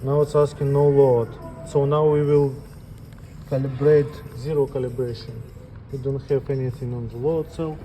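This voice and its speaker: A man giving an unscripted step-by-step equipment tutorial as an instructor, speaking plainly and haltingly in short phrases. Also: mid-range voice, flat delivery, dark tone, slight background noise. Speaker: instructor demonstrating equipment calibration.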